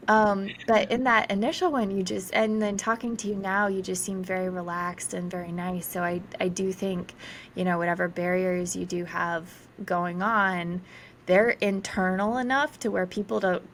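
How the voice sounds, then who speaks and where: A chatty woman talks in a relaxed interview, her voice sitting at an ordinary middle pitch. She fills her thoughts with "um" and "like" and laughs easily. A faint noise sits under her voice. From South Carolina. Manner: chatty, lots of fillers and laughter. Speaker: astrologer guest. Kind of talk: casual conversational interview.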